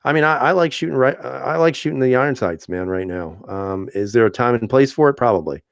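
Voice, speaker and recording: A man hosting a casual live stream, reading viewer comments aloud and answering in a relaxed, chatty way with frequent fillers and easy laughs. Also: mid-range voice, quick pace, creaky, no echo, studio quality. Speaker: channel host reading live chat.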